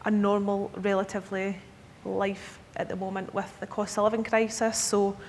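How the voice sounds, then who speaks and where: A woman's voice: level tone, moderate pace, darkish tone. A Scottish woman in her thirties giving a conference presentation to advisers, chatty, often checking in with the audience. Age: 30s. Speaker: key account manager presenting to advisers. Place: conference hall. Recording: echoey hall with faint rumble.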